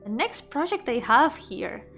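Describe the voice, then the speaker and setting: A woman with a fairly high voice chats casually on a livestream. She is effusive and giggly, looping back over her words and letting sentences trail away. Faint music plays behind her. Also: very animated, unhurried, gravelly, a bit bright. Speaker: VTuber streamer and indie game developer.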